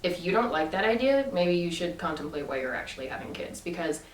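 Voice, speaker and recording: A woman's voice: lowish voice, lively intonation, a little fast. Chatty woman, echoey and distant. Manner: chatty, many fillers and restarts. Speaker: vlogger. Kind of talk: casual storytelling vlog. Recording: echoey room from a distance with faint ambience.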